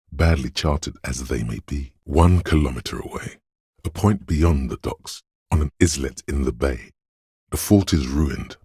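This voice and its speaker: A man voicing an analytical inner voice in a video game, narrating in a measured, dryly deadpan and precise way. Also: very deep voice, husky, no room sound, clean studio sound, heavily acted. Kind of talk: scripted game narration, second person.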